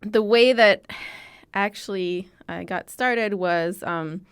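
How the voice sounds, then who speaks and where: Animated woman in her forties. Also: medium pitch, slow, smooth. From Chicago, USA. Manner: animated storyteller who voices the people she quotes. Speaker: guest, archives founder. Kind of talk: conversational interview storytelling.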